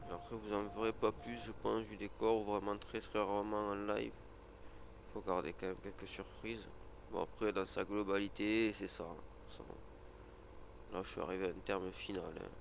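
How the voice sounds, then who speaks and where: A man's voice: lowish voice, level tone, normal speed, creaky, background noise. A man with a cold talking casually over his farming game, rambling with many fillers and restarts. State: has a cold, sniffling. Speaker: gaming video creator. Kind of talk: casual gameplay commentary.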